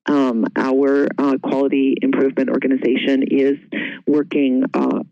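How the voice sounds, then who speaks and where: Guy hosting a webinar q&a, stop and start delivery, medium pitch, bit lo-fi. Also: flat delivery, normal speed, gravelly, no room sound. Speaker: panel moderator taking audience questions. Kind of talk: informal webinar presentation. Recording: dull, band-limited webinar call audio.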